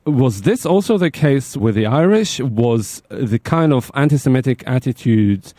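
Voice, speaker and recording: Male interviewer, mellow tone, dry studio sound, probing, restarts his questions. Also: mid-range voice, animated, measured pace, dry recording. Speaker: podcast host. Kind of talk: interviewing a guest.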